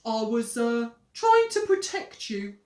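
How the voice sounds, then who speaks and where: A woman voicing a scheming, blustering young boy from a children's storybook, with a forceful, melodramatic delivery. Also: fairly high pitch, sing-song, takes it slowly, distant mic. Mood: sly stalling. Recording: roomy echo.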